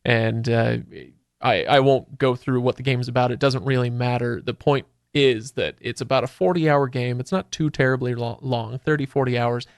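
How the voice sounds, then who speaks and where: A man's voice: medium pitch, fairly even intonation, moderate pace, creaky, clear, resonant tone, no echo. Man, mid-range voice, podcast host, conversational, thinking aloud, dry recording. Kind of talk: casual podcast discussion.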